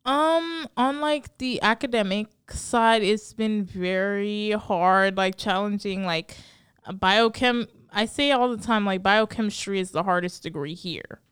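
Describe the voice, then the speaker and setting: A young woman from the Bahamas answers interview questions casually, chatty and peppered with likes and ums. Her voice is very crisp and clear, recorded close and dry. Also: mid-range voice, measured pace, a bit bright, no echo. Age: young adult. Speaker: interview guest.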